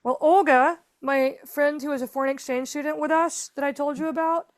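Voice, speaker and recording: A woman's voice: high voice, fairly even intonation, brisk. A woman guest on a podcast interview, telling her story in a chatty, rambling way with lots of fillers. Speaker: guest, foundation founder.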